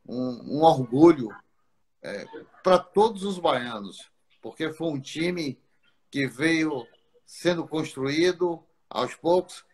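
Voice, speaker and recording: A man hosting a live online interview, speaking slowly and haltingly, repeating words as he builds his questions. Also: medium pitch. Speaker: interview host, football schools federation.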